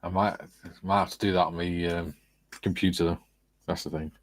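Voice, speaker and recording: A man's voice: low voice, steady tone, moderate pace. A man hosting a casual live model-building stream, joking and reading viewer comments aloud. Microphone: phone.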